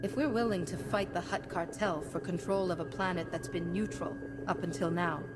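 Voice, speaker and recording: A woman's voice: lowish voice, steady tone, moderate pace, loud music behind the voice, lightly acted. A woman playing a steadfast, noble warrior-monk hero in a video game, composed and earnest. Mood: calm resolve. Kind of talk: scripted video game acting.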